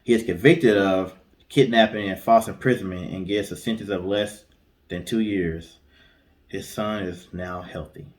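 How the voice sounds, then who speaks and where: A man's voice: low voice, fairly even intonation, normal speed. A man with an American accent chatting casually as co-host of a storytelling show. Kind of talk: casual chat.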